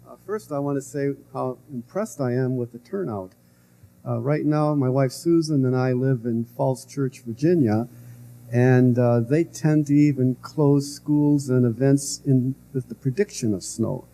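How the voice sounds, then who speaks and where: Older man, soft-spoken, dark mellow voice, halting lecture delivery, faint hiss. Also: medium pitch, poor audio. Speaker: guest lecturer. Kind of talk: public lecture. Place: lecture hall. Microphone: podium microphone.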